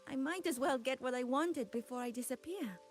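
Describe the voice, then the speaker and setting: A young female ghost played by an actress in a video game, with a fairly high, earnest voice. She is gentle, devoted and wistful, speaking with slight hesitation over soft background music. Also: fairly quick, lightly acted. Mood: wistful, resigned. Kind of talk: game voice acting.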